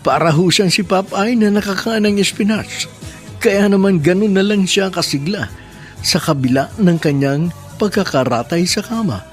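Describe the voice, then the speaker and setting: A male radio host narrating a listener's letter in an emphatic, scripted way. His pitch swings widely and sits fairly high. Soft music plays under his voice.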